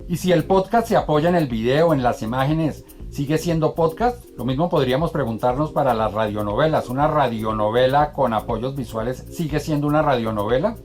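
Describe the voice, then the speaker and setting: A man's voice: medium pitch, a little fast, hardly any pauses, a little mellow, faint music behind. A man hosting a television programme in a formal, courteous, scripted presenting style. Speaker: TV programme host and viewer ombudsman.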